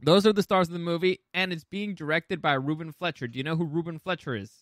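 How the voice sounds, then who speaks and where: A man's voice: on the high side, quick pace, a bit bright, no echo, clean studio sound. A man hosting a casual movie chat, opinionated and emphatic, swearing freely. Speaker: podcast host.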